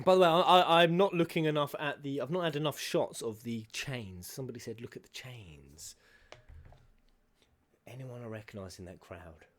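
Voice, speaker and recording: A man giving a casual, excitable reaction commentary on game cutscenes, slangy and sweary, as a streamer. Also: mid-range voice, normal speed.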